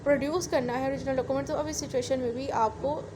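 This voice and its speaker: A woman's voice: sits high, steady tone, fast. A Pakistani woman giving an explanatory law lecture, repeating and rephrasing points in a didactic way, switching into English terms. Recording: clearly noisy recording with ambient sound.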